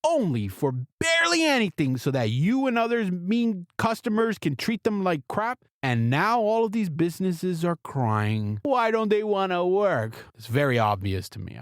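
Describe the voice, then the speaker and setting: Animated man ranting, very dry recording. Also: on the high side, normal speed, hardly any pauses, no echo. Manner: blunt, ranting, sarcastic. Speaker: podcast host. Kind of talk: comedic opinion monologue to listeners.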